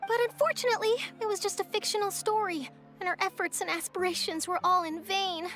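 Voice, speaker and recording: A woman's voice: very high voice, fairly even intonation, fairly quick, a bit bright, faint music behind. A woman voicing an eager, earnest and articulate young female journalist in a video game. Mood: earnest explaining. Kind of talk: English video game voice acting.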